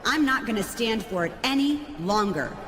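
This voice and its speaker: A woman's voice: on the high side, lively intonation, normal speed, background noise, natural voice. A woman voicing a commanding, haughty executive. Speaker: company executive.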